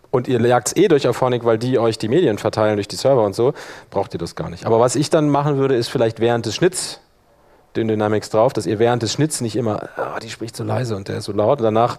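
Man giving an informal software workshop. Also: fairly low pitch, fast talker. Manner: colloquial, explanatory, hands-on. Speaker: workshop presenter. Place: live workshop in front of attendees.

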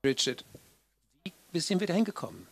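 A man interpreting a conference panel live, speaking in halting pieces and often correcting himself. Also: a little high, big pitch swings, husky, no echo. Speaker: simultaneous interpreter.

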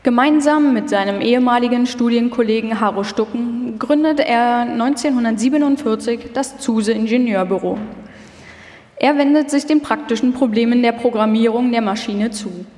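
Higher-voiced female narrator, echoey hall. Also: moderate pace, darkish tone. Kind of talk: scripted documentary narration, live radio feature. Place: large hall, live stage performance. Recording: echoey hall with long reverb.

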